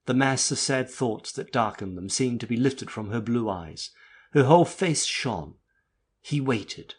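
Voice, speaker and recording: A man narrating classic literature as an audiobook, with a husky voice and measured storytelling manner. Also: mid-range voice, animated.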